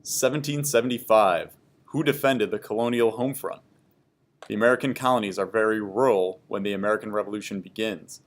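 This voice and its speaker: A man's voice: fairly low pitch, brisk. Man narrating a history comic. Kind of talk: scripted educational history narration for a class.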